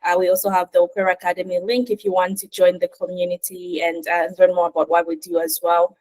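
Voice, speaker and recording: Low-voiced woman hosting a webinar. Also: little pitch movement, quick pace, darkish tone, poor audio. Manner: enthusiastic, with frequent "uh" fillers. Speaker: webinar host. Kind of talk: online webinar interview. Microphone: video call.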